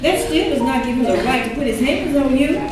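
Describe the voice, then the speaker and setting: A woman's voice: sits high, expressive, fairly quick. A woman acting in a stage drama about abuse, emotional and pleading in her delivery. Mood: distressed, pleading. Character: women in abuse drama: trapped wife, worried friend. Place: theatre stage, captured from afar. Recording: distant, very echoey theatre stage recording.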